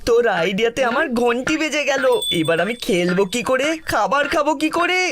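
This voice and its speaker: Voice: medium pitch, expressive, fairly quick, noisy background, natural voice. A performer voicing a bossy, complaining older brother, a schoolboy, in a cartoon dub. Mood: dismayed. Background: cartoon music.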